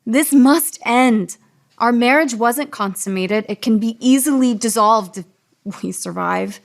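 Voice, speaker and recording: A woman's voice: on the high side, measured pace, creaky. An actress in a radio play as a proud, selfless young woman in love, speaking earnestly and insistently in a theatrical style. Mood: resolute. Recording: music under the dialogue.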